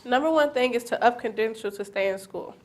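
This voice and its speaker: A woman's voice: on the high side, brisk. A young woman, a student reader, reading out a scripted political statement. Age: young adult.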